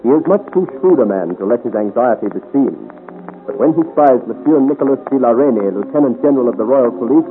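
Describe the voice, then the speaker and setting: A man's voice: mid-range voice, expressive, quick pace, phone-quality sound. A man giving formal, dramatic scripted narration in the storytelling style of a 1950s radio serial. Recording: thin, band-limited 1950s radio recording. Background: music.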